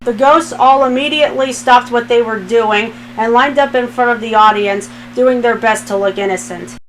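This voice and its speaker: A woman's voice: on the high side, normal speed, slight background noise, poor audio. A woman reading fan fiction aloud as a narrator, in a scripted storytelling style.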